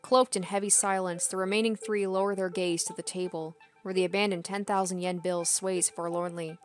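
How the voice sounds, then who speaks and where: A woman's voice: on the low side, steady tone, a little fast. A woman streaming a game, reading visual novel narration aloud with casual asides. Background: soft game music.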